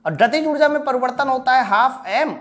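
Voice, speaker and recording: High-pitched woman teaching. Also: fairly quick. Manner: asks questions and answers them herself, repeats key lines; informal, addresses students directly. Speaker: physics teacher for exam preparation. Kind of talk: live online class, explaining problems step by step.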